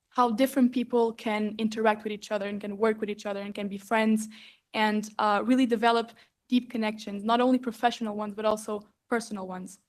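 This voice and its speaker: A young woman, a student network member, giving a heartfelt personal speech in a large hall, halting often with fillers. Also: on the high side.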